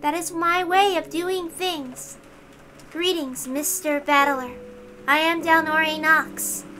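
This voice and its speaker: A woman's voice: high voice, steady tone, slow, sharp tone, faint music behind, pitched above the performer's own voice, exaggerated voice. A woman voicing a formal, polite young female heavenly visitor with a stiff, solemn, ceremonious way of speaking.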